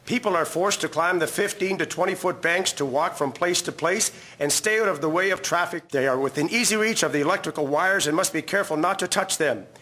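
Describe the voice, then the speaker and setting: A man's voice: fairly high pitch, fairly quick, hardly any pauses, sharp tone. A man playing a 1950s radio newsreader delivering formal scripted bulletins in a drama. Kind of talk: scripted news reading, period radio drama.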